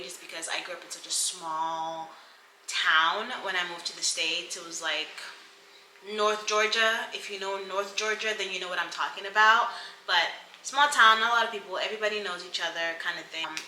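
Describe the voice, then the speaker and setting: A woman's voice: on the low side, pushed and bright, echoey room, a little hiss or hum, low-quality recording. A woman in her mid-twenties from Cameroon, partly raised in the US, chatting casually and informally to camera as a vlogger, with giggly, rambling asides. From Cameroon, partly grew up in the US. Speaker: vlogger introducing her channel.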